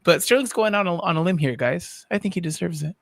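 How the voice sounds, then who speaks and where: A man's voice: high voice, fast, creaky, no room sound, studio quality. A man hosting a livestream in casual chat, putting conversational questions on behalf of the audience. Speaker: livestream host and moderator.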